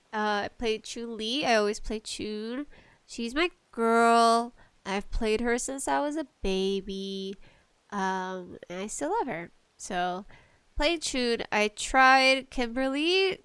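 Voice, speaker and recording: Female solo podcast host, casual and shy with nerves, unhurried halting delivery, very dry close sound, mild allergy trouble. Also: on the high side, a bit bright, smooth, dry recording. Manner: nervous, apologetic, halting. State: allergies acting up. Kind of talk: casual solo podcast chat about games and tea.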